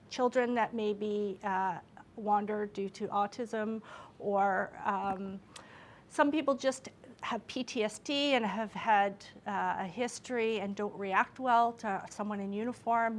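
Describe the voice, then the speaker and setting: A woman police officer answering a question at a conference, speaking loudly and confidently and explaining things plainly. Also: on the high side, a little hiss or hum.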